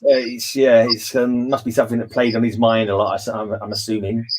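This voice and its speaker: A British man hosting a casual book discussion, talking in a rambling, halting way and often backtracking. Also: medium pitch, level tone, a loud crowd. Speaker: host of a book read-along discussion.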